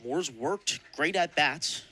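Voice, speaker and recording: A young man calling baseball play-by-play for radio in crisp announcer phrasing with punched-up calls. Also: on the high side, lively intonation, slow pace, bright, forceful tone, faint music behind. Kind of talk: live radio sports commentary.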